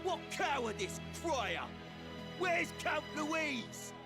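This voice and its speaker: Loud music backs a slow, swooping male voice. a fierce warrior-monk captain from a fantasy game, declaiming with zealous menace. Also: extremely high pitch, very animated, exaggerated voice. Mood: scornful. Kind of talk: theatrical fantasy game acting.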